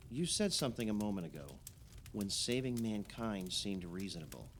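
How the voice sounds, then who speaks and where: A man plays a questioning husband in an audio play. His remarks are dry and understated, though his pitch moves freely, with faint noise behind him. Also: sing-song, normal speed. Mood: gently probing. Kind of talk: naturalistic audio play dialogue.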